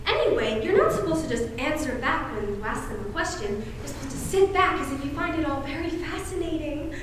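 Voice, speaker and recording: Fussy genteel matron aunt, played by a young woman, American, coaxing, animated delivery, heard from far off in an echoey hall. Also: high-pitched, fairly quick. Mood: patient, coaxing. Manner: sugary, mannered, coaxing, flustered exclamations. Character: fussy, genteel Southern matron aunt, cajoling and easily flustered. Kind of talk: high school stage play acting. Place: indoor school stage, filmed performance. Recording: echoey hall, distant camera, faint noise.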